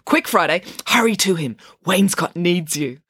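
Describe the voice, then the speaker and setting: A woman voicing an excitable, gossipy female schoolteacher who relishes romance, gushing and theatrical, in a storybook reading. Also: lowish voice, measured pace, breathy edge, no echo, studio quality, pitched above the performer's own voice, heavily acted. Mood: excited.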